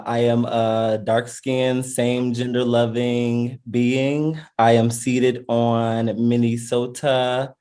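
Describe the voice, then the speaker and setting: Man, mid-pitched voice, slow unhurried pace, hesitant fillers, moderator, video call. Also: darkish tone. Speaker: panel moderator. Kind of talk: casual self-introduction.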